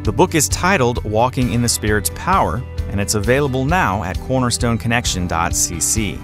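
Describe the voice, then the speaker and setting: A man's voice: mid-range voice, lively intonation, brisk. A man with an American accent reading scripted promotional narration as a radio program announcer. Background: guitar music.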